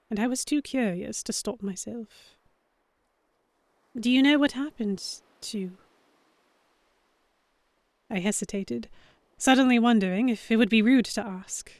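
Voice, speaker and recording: A mid-range female voice from an actress narrates as the earnest heroine of a historical audio drama. She is measured, introspective and self-conscious. The sound is very dry, with no room echo. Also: medium pitch, natural voice. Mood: hesitant, self-reproachful. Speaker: narrator and character. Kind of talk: scripted first-person audio drama narration.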